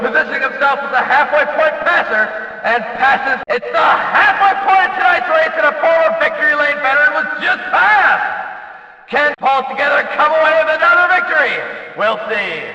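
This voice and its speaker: A man playing an excitable, cheeky stock car race announcer in a video game, with emphatic, showy delivery. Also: extremely high pitch, exaggerated voice. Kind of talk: excited racing commentary. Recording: echoey PA-like hall, distant and dull.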